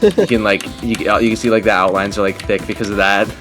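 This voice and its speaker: A man's voice: fairly low pitch, expressive, moderate pace. A man hosting a gameplay video in loose, informal, amused chat. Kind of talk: casual chat over gameplay. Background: video game music.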